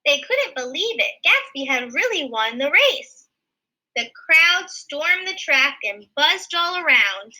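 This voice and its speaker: Female read-aloud teacher, high and very bright, expressive storytime delivery. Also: takes it slowly, low-quality recording. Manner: expressive, explaining pictures simply. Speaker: teacher reading a picture book aloud. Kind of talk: animated children's read-aloud with asides.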